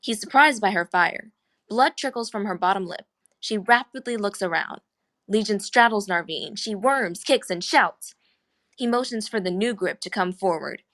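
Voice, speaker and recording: A woman serves as narrator, reading out screenplay stage directions. Her voice sits at a medium pitch as she delivers the scripted action. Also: expressive, normal speed, fairly bright tone.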